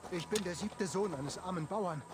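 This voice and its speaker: An actor playing a nervous, boastful, stuttering monk who poses as a pompous lord in a video game. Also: male voice, high-pitched, normal speed, noisy background, natural voice. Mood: anxious, self-deprecating. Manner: stutters when nervous, blustering. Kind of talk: dramatic video game dialogue.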